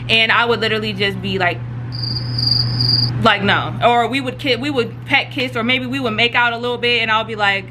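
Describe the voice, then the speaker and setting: A woman's voice: a little high, fairly even intonation, normal speed, heavy background noise. A young woman from Atlanta, telling a storytime in a chatty, rambling way full of fillers. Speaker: YouTuber. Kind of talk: casual storytime.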